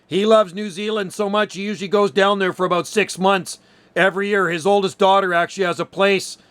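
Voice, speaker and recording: A man's voice: sits high, steady tone, brisk, fairly bright tone, clear, resonant tone. A man of about sixty, chatting in a chummy, casual way as an amateur radio operator. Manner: chummy, complimentary. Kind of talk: casual radio chat with call phrases.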